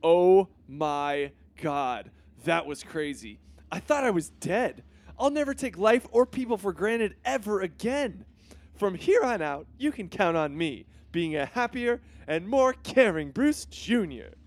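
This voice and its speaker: A man's voice: high voice, very animated, unhurried, no room sound, heavily acted. A man doing a bratty boy superhero. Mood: relieved. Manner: smug, whiny, brash. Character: bratty selfish boy superhero Batman Junior. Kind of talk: comedy cartoon voice acting.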